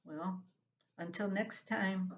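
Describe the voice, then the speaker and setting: An older woman reading a scripted, humorous family column aloud as its writer, in an expressive storytelling manner. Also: mid-range voice, takes it slowly. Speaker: columnist reading own writing.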